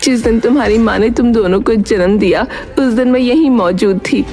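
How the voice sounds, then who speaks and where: A woman's voice: fairly high pitch, normal speed, mellow, natural voice. A woman voicing an elderly, guilt-ridden nurse in an earnest, confessional story drama, with music behind. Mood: remorseful.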